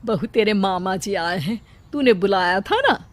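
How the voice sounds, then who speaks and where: A woman's voice: medium pitch, expressive, a little slow, close to an everyday voice. A woman voicing an anxious, caring older widowed mother-in-law in a village cartoon story. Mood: concerned. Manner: worried, maternal.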